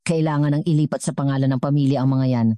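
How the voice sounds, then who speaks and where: A woman's voice: very deep voice, fairly even intonation, quick pace, mellow, no echo. A woman voicing an older, scheming and suspicious mother-in-law in an audio drama, demanding and questioning. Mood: bossy.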